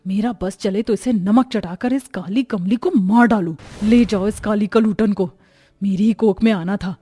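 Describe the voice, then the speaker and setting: A woman's voice: medium pitch, moderate pace, warm tone, husky, natural voice. A woman voicing a vain, spiteful mother in a dramatic, haughty way for a moral story cartoon. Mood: scornful, angry. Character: vain, spiteful mother, scornful to one daughter, doting on another. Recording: music behind the voice.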